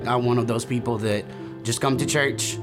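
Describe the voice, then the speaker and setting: A young man from El Salvador tells his story in an earnest, halting way, leaning on fillers as he goes. His voice sits at a middle pitch, and music plays clearly beneath him throughout. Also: steady tone. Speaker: church member giving testimony. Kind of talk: personal testimony, recorded interview. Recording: music bed under voice.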